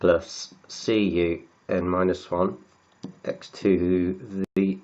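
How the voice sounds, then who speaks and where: A very low-pitched man with a cold, his voice croaky and sniffly as he talks his way through equations. He stops and repeats words while he writes, thinking aloud over a dull, lo-fi recording. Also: flat delivery, extremely slow, darkish tone. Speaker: maths tutor. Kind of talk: working through textbook maths aloud.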